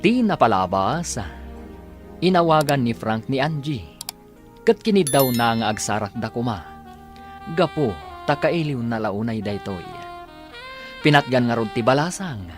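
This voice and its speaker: Animated Ilocano male radio drama announcer. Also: mid-range voice. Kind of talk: dramatic scripted narration. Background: music.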